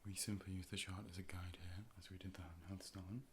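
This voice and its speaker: A man playing a calm, polite robot A.I. optician in an ASMR role play, speaking in whispers and soft, measured, clinical tones into a close microphone. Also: low voice, a little hiss or hum, natural voice. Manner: measured, formal, procedural, soothing. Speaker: ASMR performer playing an eye doctor. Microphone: close-up ear-to-ear 3D microphone.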